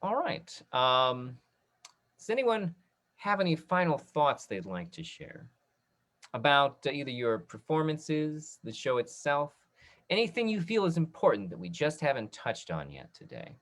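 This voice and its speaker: A man's voice: a little high, very animated, unhurried, a bit bright. Man hosting a casual online panel. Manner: appreciative, encouraging. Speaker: host of a post-show discussion. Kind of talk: casual moderated panel talk. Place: online video discussion.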